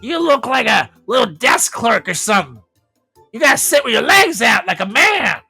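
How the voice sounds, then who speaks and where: A man's voice: very high voice, sing-song, normal speed, gravelly, bright, forceful tone, slightly rough, pitched above the performer's own voice, exaggerated voice. A man voicing a cranky, abusive old grandmother who scolds and taunts in a bossy, scornful way, for a comedy story reading. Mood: scornful, scolding. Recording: echoey room with music behind.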